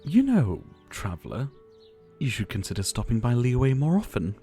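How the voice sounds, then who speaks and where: A man playing a gentle, ailing pharmacist in an ASMR roleplay, soft-spoken and courteous, with wistful, theatrical phrasing. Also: mid-range voice, huge pitch swings, normal speed, faint music behind. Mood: warm, playful. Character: gentle, ailing male pharmacist, courteous, earnest, devoted healer. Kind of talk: ASMR roleplay addressed to the listener.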